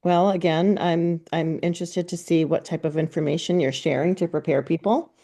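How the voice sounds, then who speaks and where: Casting note: woman attendee, low mellow voice, brief answers. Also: fairly even intonation, normal speed. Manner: brief, matter-of-fact. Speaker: webinar attendee. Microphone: video call.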